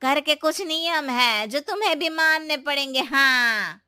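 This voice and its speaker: A woman's voice: high voice, lively intonation, unhurried, sharp tone, no echo, clean studio sound, exaggerated voice. A woman voicing a stern, old-fashioned village mother-in-law in a cartoon story, nagging and indignant. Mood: scolding. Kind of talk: cartoon moral story voice acting.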